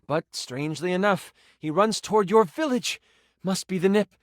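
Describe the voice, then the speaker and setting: A man's voice: sits high, normal speed, no echo, studio quality, natural voice. A man playing a playful game master, narrating a fantasy tabletop adventure in a theatrical, dramatic storytelling style. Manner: theatrical, second-person storytelling.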